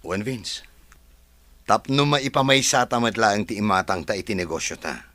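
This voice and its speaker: A man's voice: on the low side, animated, moderate pace, bright, forceful tone, no room sound. An actor playing a courteous, cautious businessman with a Filipino accent in a radio drama. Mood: apologetic, firm. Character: courteous, cautious businessman, farm owner turning down a partner.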